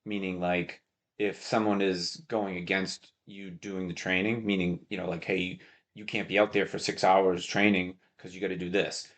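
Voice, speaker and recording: A man with a deep, low-pitched voice hosts a casual podcast conversation. He speaks in a chatty, encouraging way and explains his points much as a coach would. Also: level tone, normal speed, a bit bright. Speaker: podcast host.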